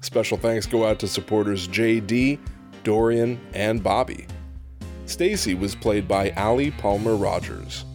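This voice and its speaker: A man's voice: lowish voice, measured pace, darkish tone. Young man reading scripted credits over music. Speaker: show creator reading the credits.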